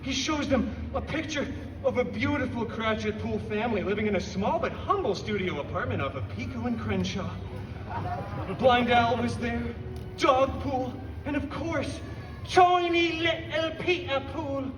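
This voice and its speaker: An actor playing a cheeky, irreverent mercenary telling a holiday story on stage, with an animated, mocking, theatrical delivery. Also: male voice, very high-pitched, unhurried, background noise. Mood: wisecracking. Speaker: live show performer. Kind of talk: live stage comedy storytelling. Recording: echoey, distant live crowd recording.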